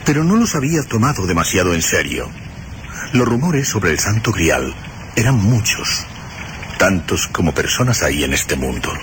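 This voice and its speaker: Male narrator for horror radio drama: very low, expressive, solemn, set against loud rain and storm noise. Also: moderate pace. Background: rain and storm ambience.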